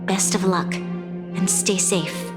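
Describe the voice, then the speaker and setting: A young woman who leads a troubled city in a video game. She speaks formally and with measured, earnest weight. Her voice rings in a long reverb, with music clearly behind it. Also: on the low side, little pitch movement. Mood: grateful, apologetic. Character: earnest, burdened young female leader of a besieged city. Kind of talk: video game cutscene voice acting.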